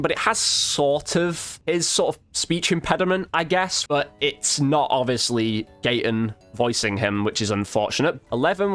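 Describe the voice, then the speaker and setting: A man's voice: fairly high pitch, fairly bright tone, no room sound. A man giving casual, slangy gameplay commentary as a video creator, thinking aloud as he goes.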